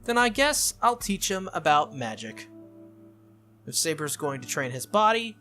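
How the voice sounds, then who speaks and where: Teen girl mage, man performing: bossy, blunt, scolding, bright tone, visual novel read. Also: a little high, sing-song, measured pace, faint music behind, heavily acted. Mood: conceding, decisive.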